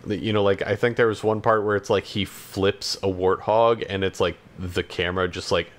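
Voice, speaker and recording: A man's voice: deep voice, steady tone, moderate pace. A man chatting casually as a podcast co-host about games and films, hedging and backtracking as he goes.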